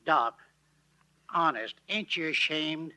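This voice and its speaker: High, jittery stagecoach driver. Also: male voice, takes it slowly, background noise. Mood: worried, reproachful. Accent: American. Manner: folksy, flustered, stammering. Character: jittery, simple, good-hearted stagecoach driver in Old West. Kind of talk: 1940s radio drama acting.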